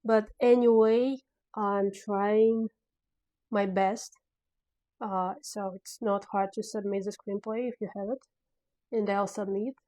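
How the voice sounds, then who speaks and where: A woman's voice: mid-range voice, steady tone, takes it slowly, pauses often, warm tone. A woman with a Russian accent, a non-native English speaker, talking through her filmmaking plans in a casual video diary, hesitant and searching for words. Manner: hesitant, many fillers and restarts, searching for words. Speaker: filmmaker vlogger.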